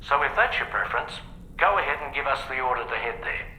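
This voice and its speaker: A bright, forceful male briefing officer. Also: mid-range voice, animated, quick pace, echoey room, slight background noise, low-quality recording. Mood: businesslike briefing. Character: brisk, businesslike male crew officer briefing an operative. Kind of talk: video game cutscene dialogue.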